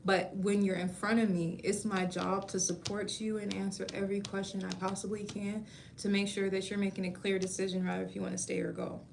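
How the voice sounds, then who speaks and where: A woman in her thirties chatting casually with viewers on a livestream, warm and talkative with frequent laughs, explaining beauty school and her outlook. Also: mid-range voice, level tone, fairly quick, gravelly, noisy background. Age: 30s. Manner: chatty, laughs often, calls viewers baby.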